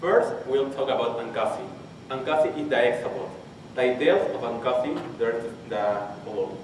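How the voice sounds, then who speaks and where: Young Spanish-accented man in an echoey hall. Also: medium pitch. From Peru. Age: 20s. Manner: halting, restarts phrases while searching for English words. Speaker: conference presenter. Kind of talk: technical conference talk. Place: large conference hall. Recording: very echoey hall, distant mic, faint hiss.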